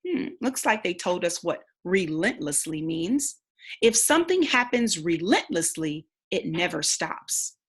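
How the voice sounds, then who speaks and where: A woman reading a storybook aloud like a teacher, pausing to think aloud and explain. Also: on the low side, very animated, husky.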